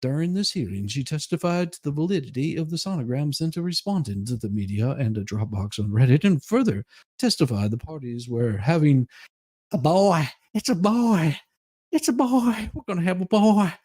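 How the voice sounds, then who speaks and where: A man's voice: a little high, animated, moderate pace, darkish tone. A man giving a comedic dramatic reading of a legal document, theatrical and folksy, with mocking asides. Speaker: streamer performing a dramatic reading.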